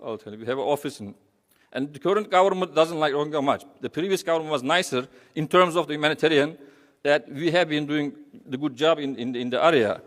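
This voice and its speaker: A man from Turkey with a Turkish accent gives an informal speech as a charity representative, halting and rambling, often repeating phrases. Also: on the high side, fairly bright tone. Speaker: humanitarian charity representative speaking. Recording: echoey hall with long reverb.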